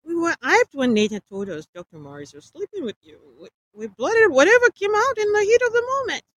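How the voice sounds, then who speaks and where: A woman's voice: extremely high pitch, sing-song, fairly quick, a bit bright, pitched above the performer's own voice, full character voice. A woman reading aloud as a menacing man who talks in a harsh, commanding way. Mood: explaining. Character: menacing man taking charge, scolding.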